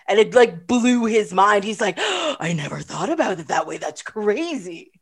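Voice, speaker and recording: A woman's voice: medium pitch, sing-song, moderate pace, slightly rough. Upbeat Canadian woman hosting a podcast. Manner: upbeat, laughs often, filler-heavy. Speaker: podcast host. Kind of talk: casual chat.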